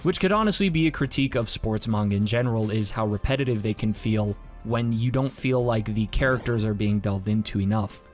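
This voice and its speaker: A man's voice: lowish voice, fairly quick, few pauses. A man narrating a scripted review in the manner of an online video creator. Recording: music under the voice. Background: background music.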